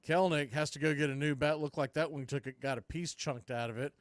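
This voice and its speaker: A man doing live baseball play-by-play for a fan stream, mixing clipped pitch calls with casual, partisan asides. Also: fast, dry recording.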